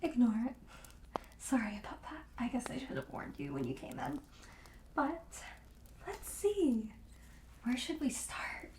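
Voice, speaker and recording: Soft, high-voiced, slow witch shopkeeper, played by a woman. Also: huge pitch swings, roomy sound. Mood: hesitant, apologetic. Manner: gentle, hesitant, unhurried, drifting into whispers. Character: friendly, soothing witch shopkeeper selling curious wares. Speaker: ASMR roleplay performer. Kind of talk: soft-spoken ASMR roleplay.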